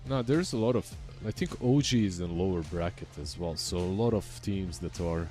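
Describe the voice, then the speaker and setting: A young man with a low voice casts an esports match. His delivery is relaxed and chatty, and he often trails off. Faint music plays under his commentary. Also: normal speed. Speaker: esports caster.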